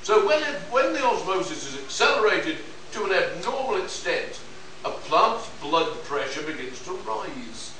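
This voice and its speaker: A man's voice: sits high, sing-song, unhurried, pushed and bright, full character voice. Man acting a pompous detective-scientist, distant and echoey. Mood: pompous lecturing. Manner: pedantic, condescending, stumbling over script. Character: know-it-all male scientist detective lecturing on alien plants. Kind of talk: live amateur radio-play reading at a convention. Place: convention room, distant microphone. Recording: noisy echoey hall recording from far off.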